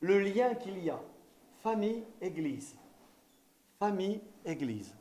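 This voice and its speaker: Higher-voiced male preacher from eastern France. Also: very animated, slow pace, dark tone. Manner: exhorting, repeating key phrases for emphasis. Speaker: visiting evangelical pastor preaching. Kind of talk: sermon.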